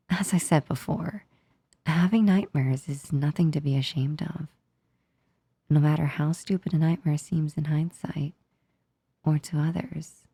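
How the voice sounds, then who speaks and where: A woman voicing a gentle, affectionate demon in an ASMR roleplay, soothing and tender, with a soft, breathy, near-whispered delivery. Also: low voice, no echo, full character voice. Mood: soothing, sympathetic. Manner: slow, soothing, tender, unhurried. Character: gentle, comforting female sleep paralysis demon, affectionate and reassuring.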